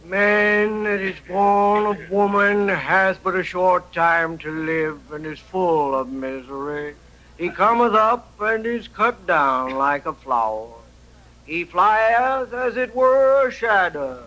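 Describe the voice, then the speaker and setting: Slow, high-voiced solemn frontier preacher. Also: male voice, bright, forceful tone. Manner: solemn, declamatory praying. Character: preacher at a frontier graveside burial. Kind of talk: 1950s radio drama acting. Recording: noisy old radio recording.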